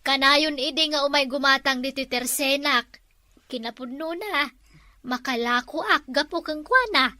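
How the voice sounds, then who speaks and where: Bright chatty high-voiced woman. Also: normal speed, no room sound. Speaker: comedy drama actor. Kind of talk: comic village drama.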